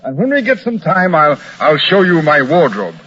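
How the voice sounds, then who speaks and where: A man's voice: a little high, very animated, normal speed, a bit bright. An actor playing a pompous, genial con man posing as a colonel, with flowery, hesitating speech, in a 1940s radio drama. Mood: smug, genial. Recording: noisy, dull old-time radio recording.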